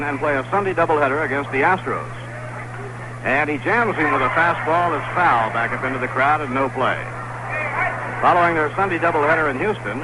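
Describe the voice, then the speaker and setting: A man doing play-by-play commentary of a baseball game on radio, steady and even with quick rises on plays. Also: a little high. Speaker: play-by-play announcer. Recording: noisy old 1960s radio broadcast.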